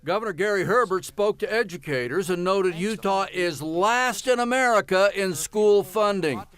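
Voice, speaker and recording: A man's voice: high-pitched, moderate pace, fairly bright tone. A man delivering a television news report with emphatic, punchy phrasing. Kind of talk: news report, live and scripted.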